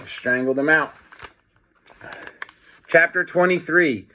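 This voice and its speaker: A man's voice: fairly high pitch, slow, bright, forceful tone, slight background noise. A man reading a children's book aloud in a playful, dramatic manner, adding his own comments. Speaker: storybook reader.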